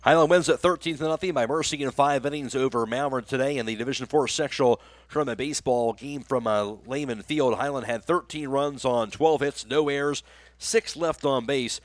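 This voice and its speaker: A man's voice: medium pitch, a little fast, no room sound. A man working as a radio announcer, reading sponsor spots and recapping the game on a sports broadcast.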